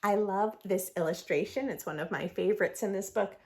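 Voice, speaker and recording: A woman reading a picture book aloud for young children in an expressive, sing-song storytelling manner, adding her own asides. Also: medium pitch, fairly quick. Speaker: children's read-aloud host.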